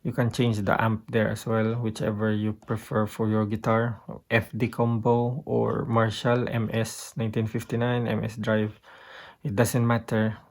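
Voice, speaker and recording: A man with a Filipino accent giving a casual, unscripted guitar gear demonstration, haltingly thinking aloud as he goes. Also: fairly low pitch, completely flat delivery, creaky. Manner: halting, thinking aloud while pointing out settings.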